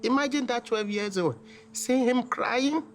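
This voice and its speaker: A woman's voice: on the high side, a little slow. Nigerian mom in her middle years telling her story in an interview, music behind her. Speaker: interviewee, mother of an athlete.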